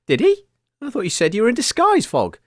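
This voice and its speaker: A man hosting a scripted comedy radio show, chirpy and quick to laugh. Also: extremely high pitch, wildly swooping pitch, no echo, clean studio sound.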